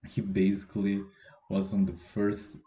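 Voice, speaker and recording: An eighteen-year-old Brazilian man with a Brazilian Portuguese accent and a very dark, mellow voice that sits a little low. He speaks English as a second language, halting and self-deprecating, with frequent restarts. His voice echoes around a bare room. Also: completely flat delivery. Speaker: podcast host. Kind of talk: casual solo podcast monologue. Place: room at home, dogs barking outside.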